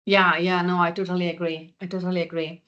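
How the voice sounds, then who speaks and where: Bright-voiced woman hosting, lo-fi sound. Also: lowish voice, flat delivery, quick pace. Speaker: podcast host. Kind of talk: conversational podcast hosting.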